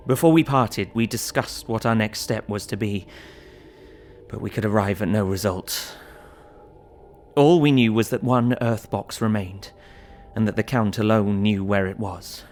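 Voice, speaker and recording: A man's voice: on the low side, darkish tone, a little hiss or hum. A man playing a tormented, devoted young Victorian husband, earnestly reading his journal in an audio drama. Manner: earnest, brooding.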